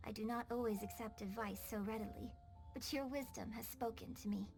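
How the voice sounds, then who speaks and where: Casting: graceful young opera performer in a game, fairly high voice, modest and formal, light music behind. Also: female voice, steady tone, gravelly. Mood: humble, gracious. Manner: formal, gracious, measured. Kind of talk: animated game cutscene dialogue.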